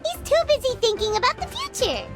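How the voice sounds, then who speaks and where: A woman voicing a tiny, chatty female fairy companion in a game, who talks about herself in the third person with a squeaky, childlike voice. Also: wildly swooping pitch, brisk, over music, full character voice. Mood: cheerful.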